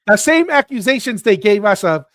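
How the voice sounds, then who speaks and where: Lively higher-voiced male co-host. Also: normal speed, no echo, studio quality. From Tonga. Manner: animated, laughing, repeats phrases for emphasis. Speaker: podcast co-host. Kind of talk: casual chat.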